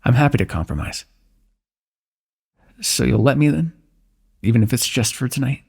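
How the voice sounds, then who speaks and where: A man performing an intimate fantasy roleplay for ASMR, speaking softly in a teasing, affectionate way. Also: mid-range voice, animated, moderate pace, creaky, husky. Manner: soft, teasing and affectionate, with frequent light laughs.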